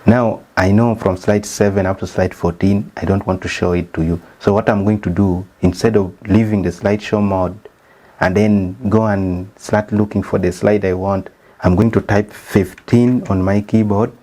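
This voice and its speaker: Ugandan guy w/ a really low voice, methodical, talks thru his slides like a teacher. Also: fairly even intonation, normal speed, warm tone. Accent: Ugandan English. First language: not English. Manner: methodical, explains step by step. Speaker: statistician and channel host. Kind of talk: presenting a slideshow lesson.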